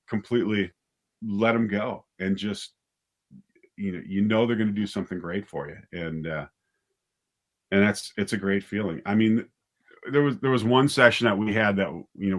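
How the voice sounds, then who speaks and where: A man with a lowish voice speaks as a guest in a casual interview. He is a director who tells stories conversationally, often acting out bits of what was said. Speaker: guest, audio drama writer and director.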